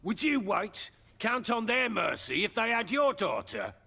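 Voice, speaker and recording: An actor playing a gruff, hot-tempered middle-aged British lord in a video game, a blunt and coarse-mouthed husband and father. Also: sits high, wildly swooping pitch, measured pace, pushed and bright, heavily acted. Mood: desperate, defiant. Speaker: game character. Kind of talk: dramatic video game voice acting.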